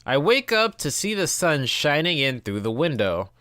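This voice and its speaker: A man's voice: on the high side, big pitch swings, moderate pace, sharp tone, no room sound, clean studio sound. A man hosting a gameplay video, reading visual novel text aloud and dropping in informal asides. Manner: informal, reacts to the text he reads.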